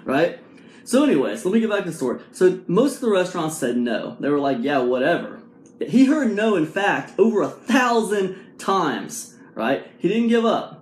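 A man in his forties telling a motivational story to camera in a casual, animated way, full of fillers and rhetorical questions, filmed with a phone at arm's length in a small room at home. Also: sits high, moderate pace. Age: 40s. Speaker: vlog host. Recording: echoey room sound.

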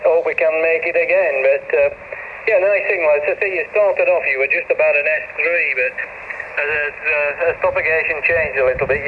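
Casting: male ham operator heard through a tinny radio speaker, fairly high voice, friendly, halting conversational style. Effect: shortwave radio transmission. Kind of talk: casual amateur radio chat. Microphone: camera picking up radio speaker. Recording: thin radio-speaker sound with background noise.

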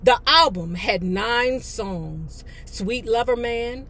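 A woman's voice: fairly high pitch, takes it slowly, a bit bright. An American woman in her fifties hosting a video, reading aloud and commenting in a blunt, sassy, casual way. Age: 50s. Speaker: video host. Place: inside a parked car.

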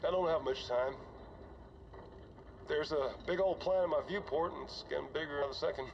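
An actor playing a weary, older starship commander, speaking in a halting, conversational way for a science fiction game audio log. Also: male voice, a little high, creaky. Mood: resigned, rueful. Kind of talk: dramatic audio log acting. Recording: dull, noisy cockpit log recording.

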